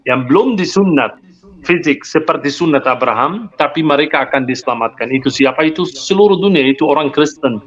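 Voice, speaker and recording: A man's voice: a little high, animated, fairly quick, a bit bright. Earnest man with muffled lo-fi sound. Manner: earnest, explanatory, rhetorical questions, mixes in English words. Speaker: guest speaker. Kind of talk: conversational religious discussion.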